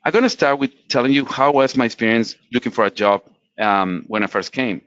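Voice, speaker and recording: A man's voice: medium pitch, a little fast, fairly bright tone, no room sound, dull audio. Latin American man giving career advice. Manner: earnest, explanatory, frequent restarts. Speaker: webinar speaker.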